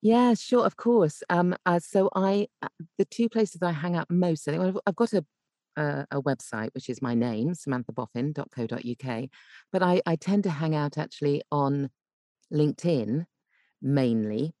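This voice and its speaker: A woman's voice: low voice, moderate pace, mellow, dry recording, studio quality. A woman giving tips as a podcast guest in casual, chatty and emphatic conversation. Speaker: podcast guest, voice actor and coach.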